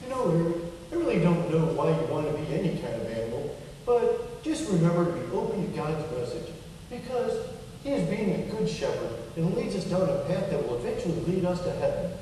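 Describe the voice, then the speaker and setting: Man voicing an earnest mellow high-pitched puppet. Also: big pitch swings, fairly quick. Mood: earnest, encouraging. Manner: gentle, explanatory, earnest. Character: kindly, patient, earnest male teacher-like puppet. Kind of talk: children's church puppet show dialogue. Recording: echoey hall from far off, faint noise.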